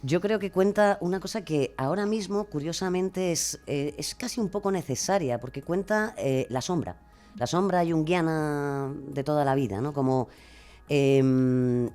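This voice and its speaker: Woman, Castilian Spanish accent, casual interview chat, expressive, rambling, self-deprecating. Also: sits low, unhurried, faint music behind. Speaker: guest, true-crime podcaster.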